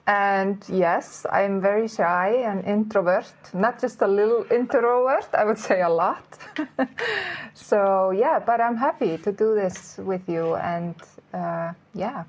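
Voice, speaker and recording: A woman from Iceland with an Icelandic accent, a podcast guest speaking English in a casual interview, hesitant and pausing often. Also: mid-range voice. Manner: hesitant, with pauses and fillers.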